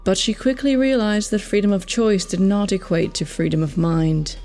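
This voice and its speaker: A woman's voice: mid-range voice, fairly even intonation, normal speed, a little mellow, soft background music. A woman giving scripted, dramatic story narration for an audio drama.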